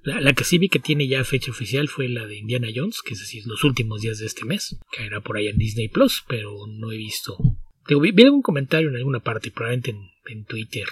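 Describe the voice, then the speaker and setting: Husky man, set back from the mic. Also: medium pitch, brisk, recorded from a distance. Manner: relaxed, wry, reads listener questions aloud. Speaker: podcast co-host. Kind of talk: casual comics chat.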